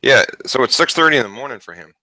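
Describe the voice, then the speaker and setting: A man's voice: mid-range voice, animated, quick pace, pushed and bright, poor audio. A man from eastern Canada with a light, mixed Canadian accent, talking in an easygoing way while hosting a casual stream chat. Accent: light Canadian, mixed from travel. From eastern Canada, Acadian French. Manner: easygoing, thinks aloud. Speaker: channel host.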